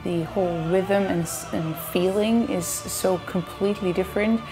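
A woman's voice: lowish voice, fairly even intonation, normal speed, over music. A woman in her thirties with a Dutch accent, chatting playfully to camera from a sofa as she answers fan questions. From Netherlands. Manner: chatty, playful, laughs often. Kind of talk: casual chat to camera. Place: indoor living room, seated on a sofa.

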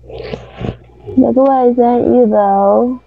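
A woman's voice: a little high, fairly even intonation, extremely slow, gravelly, phone-quality sound. A woman chatting casually on a group call. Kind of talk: casual chat.

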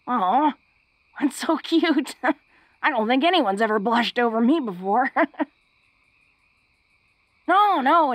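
A woman playing a big, tough young orc who is secretly awkward and tender, speaking haltingly and intimately to the listener in a romantic audio roleplay. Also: mid-range voice, no room sound, natural voice. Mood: affectionate, teasing. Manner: bashful, halting, breaking into soft chuckles. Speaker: voice actor.

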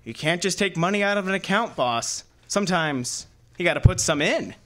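A man plays a wisecracking detective's sidekick in an old-time radio play. He swings up and down in a lively, fairly high voice, sardonic, slangy and exasperated. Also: moderate pace. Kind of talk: old-time radio drama acting and narration.

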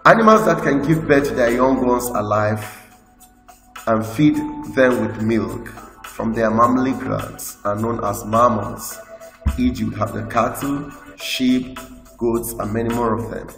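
A man with a Nigerian English accent, teaching a school lesson by slowly reading out lists from slides. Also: mid-range voice, a bit bright. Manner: slow, list-like reading with explanations. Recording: echoey room with long reverb, faint music.

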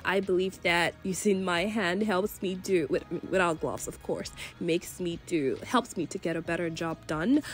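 Congested woman chatting through a cooking voice-over. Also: mid-range voice. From Nigeria. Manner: chatty, relaxed, many fillers like "you know". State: congested from a cold. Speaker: cooking channel host. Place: voice-over recorded separately from the kitchen footage.

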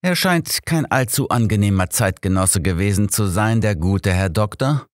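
A man's voice: fairly low pitch, animated, moderate pace, no echo, lightly acted. A man voicing a sober, wry old detective in an audiobook, dry and matter-of-fact. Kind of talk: audiobook character acting.

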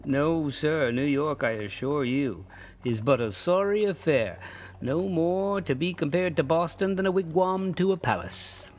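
A man's voice: a little high, animated, a little slow, pitched above the performer's own voice. A man voicing a proud, bewildered old-fashioned carriage driver who talks in formal, boastful speech and in a raised voice.

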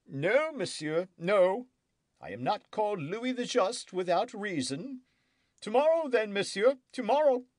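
A man doing a shrill petulant young king. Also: sing-song, measured pace, dry recording, studio quality. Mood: wry. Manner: querulous, demanding, theatrical. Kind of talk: audiobook character voice.

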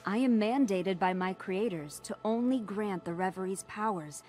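Woman as a solemn mystical guardian, medium pitch. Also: a little mellow, close to an everyday voice. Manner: formal, measured. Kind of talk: animated video game cutscene acting. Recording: music under the voice.

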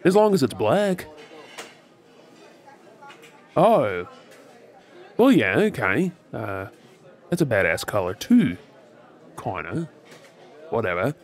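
A man's voice: medium pitch, sing-song, heavily acted. Man acting a swooping, tipsy Australian flirt. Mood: cocky, teasing. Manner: teasing, drawling, halting with hesitations. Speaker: audio roleplay performer. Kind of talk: ASMR audio roleplay, flirty monologue to the listener. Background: faint bar crowd chatter.

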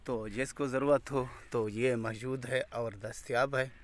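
A man selling goats in a casual farm vlog, speaking slowly and calling viewers "my dear brother". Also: fairly even intonation. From Pakistan. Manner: slow, warm-hearted salesman's pitch, calls viewers "my dear brother". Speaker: goat farmer selling goats. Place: outdoors on a rural brick-paved farmyard. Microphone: phone camera held by the speaker. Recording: outdoor phone audio with goats bleating.

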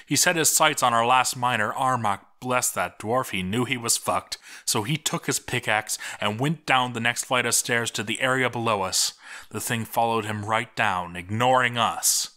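Give the story a doomed male dwarf played by a man, bitter and sardonic, slowly losing his mind. He delivers his journal dramatically in a forceful, bright voice, cursing as he goes. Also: medium pitch, lightly acted. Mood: grim, bitter. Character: doomed male dwarf expedition diarist, bitter, cracking into madness.

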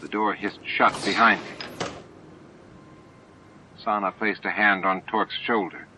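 A man's voice: fairly low pitch, steady tone, unhurried, pushed and bright, a little hiss or hum. A man narrating science fiction as a scripted audiobook. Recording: dull lo-fi audiobook tape with music.

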